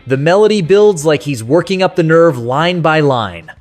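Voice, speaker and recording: A man's voice: on the high side, lively intonation, moderate pace. A man narrating a scripted music countdown as its host, in a nostalgic, colloquial storytelling manner. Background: soft rock music behind the voice.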